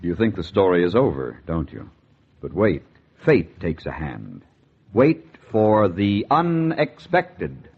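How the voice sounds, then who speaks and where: A man's voice: sits low, big pitch swings, takes it slowly. Mystery show announcer: man, dramatic, ominous, 1940s style, heavy noise, dull old sound. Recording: very noisy, dull vintage radio recording.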